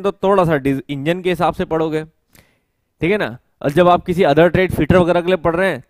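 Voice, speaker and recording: A man in his thirties teaching an exam-prep class at a whiteboard, explaining step by step and slowly repeating key technical terms as he writes, with English terms mixed into his speech. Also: sits high, no echo, clean studio sound. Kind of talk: whiteboard lecture. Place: studio with whiteboard, indoors. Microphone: headset mic.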